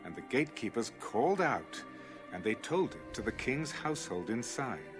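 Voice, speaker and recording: A man's voice: mid-range voice, very animated, moderate pace, close to an everyday voice. A man narrating scripture as a dramatized audio production. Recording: music under the voice. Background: background music.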